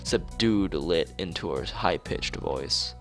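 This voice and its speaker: A man streaming a visual novel, reading its script aloud haltingly, stumbling and repeating phrases, with casual asides. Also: low voice, lively intonation, a bit bright, soft background music.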